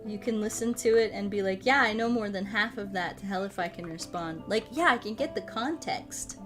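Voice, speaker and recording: A woman in her thirties, a streamer chatting casually while she plays a puzzle game, playful and quick to poke fun at herself. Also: medium pitch, music in the background. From United States. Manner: playful, self-mocking, laughs often, tries out broken Spanish and French.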